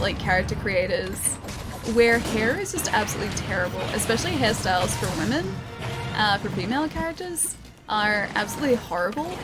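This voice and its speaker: An Australian woman streaming a video game, chatting casually and reading viewer comments, with a slightly croaky voice. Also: on the high side. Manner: chatty, rambling, frequent ums and laughs. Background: loud game music.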